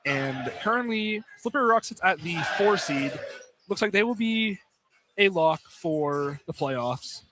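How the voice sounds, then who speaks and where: A young American man with a high voice for a male. He commentates on college sport live and casually. His delivery is informal, with phrases often restarted and corrected as he goes. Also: expressive, measured pace, fairly bright tone, a little hiss or hum. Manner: informal, repeats and corrects himself. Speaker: play-by-play radio commentator. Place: live courtside broadcast in a college field house.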